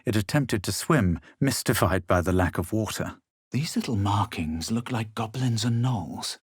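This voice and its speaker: A man playing a haughty, self-assured young adventurer in a fantasy video game, speaking in a measured, theatrical way. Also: fairly low pitch, slightly rough, no echo, studio quality. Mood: brooding, measured. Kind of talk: dramatic video game voice lines and narration.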